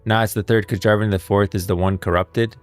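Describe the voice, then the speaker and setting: A man with a very low voice gives casual lore commentary as a stream host. He rambles and stresses his points by repeating them, with music playing behind him. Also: fairly even intonation, fairly quick. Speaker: livestream host. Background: background music.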